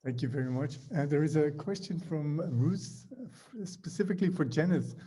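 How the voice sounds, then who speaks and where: A man's voice: fairly high pitch, moderate pace, warm tone, slightly rough. A man chairing an academic session, reading out audience questions with hesitant fillers.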